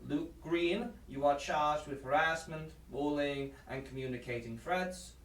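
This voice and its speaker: Echoey actor as a solemn older judge. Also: male voice, on the high side, natural voice. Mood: stern, official. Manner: formal, deliberate courtroom delivery. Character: solemn male judge in his sixties. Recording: echoey, distant, background noise.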